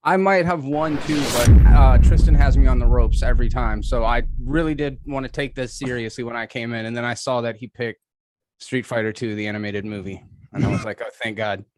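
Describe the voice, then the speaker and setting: A man's voice: medium pitch, fairly quick, background noise. A man making a casual, off-the-cuff debate argument with a sarcastic, wry manner. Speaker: debate contestant, film podcaster.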